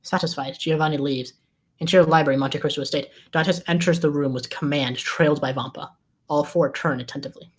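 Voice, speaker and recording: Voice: mid-range voice, fairly even intonation, a little fast, breathy edge. A screenplay narrator with a mid-range voice reads out stage directions. The delivery is scripted, describing scenes and actions for a table read.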